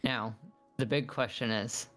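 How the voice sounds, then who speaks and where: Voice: medium pitch, steady tone, unhurried, a bit bright. A player in a tabletop game group, chatting casually and teasing the game master. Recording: faint music behind.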